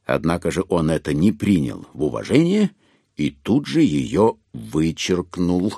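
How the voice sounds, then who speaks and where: Wry audiobook narrator, deepish, super animated delivery, a bit husky, deliberate emphasis. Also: male voice, dry recording, clean studio sound, full character voice. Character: wry, knowing narrator of a classic satirical novel. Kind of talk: scripted dramatic narration.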